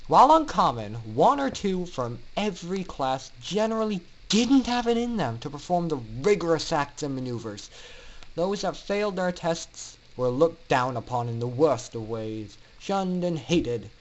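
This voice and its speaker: A man reading a dark story aloud as a dramatic narrator, with theatrical, heavy emphasis. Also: medium pitch, poor audio. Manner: theatrical, heavily emphatic delivery. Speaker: amateur story reader.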